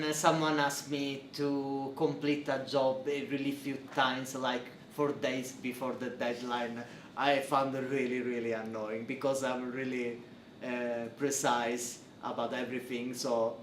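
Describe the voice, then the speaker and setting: A man's voice: mid-range voice, little pitch movement, unhurried. Young italian guy, italian accent, lots of uhs in english, echoey room with faint hiss. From Italy. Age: young adult. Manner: hesitant, many fillers and restarts, non-native phrasing. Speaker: interviewee, PhD researcher. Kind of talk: casual interview answers. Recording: roomy, distant mic, faint noise.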